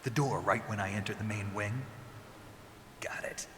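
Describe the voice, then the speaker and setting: An actor playing a gruff, guarded soldier on a covert mission in a cinematic video game, speaking in short, breathy, low-key lines. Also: male voice, fairly even intonation, normal speed, a little hiss or hum, close to an everyday voice. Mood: guarded, cautioning. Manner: terse, guarded, low-key, grunting replies. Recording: long reverb, very loud music behind. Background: loud cinematic game music.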